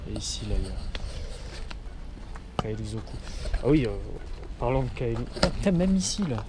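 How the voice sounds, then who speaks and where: A man's voice: medium pitch, very animated, moderate pace, background noise. Casual, sweary man vlogging. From southwestern France. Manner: slangy, sweary, informal. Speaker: car vlogger. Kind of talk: casual chat to camera.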